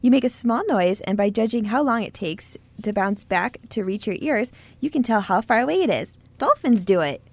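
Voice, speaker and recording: A confident young girl, played by a woman in an amateur radio drama, coaxing and encouraging. The voice sits fairly high and sounds sure of itself. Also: animated, normal speed, hardly any pauses, husky, no echo. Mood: bright, excited. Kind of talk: amateur radio play acting.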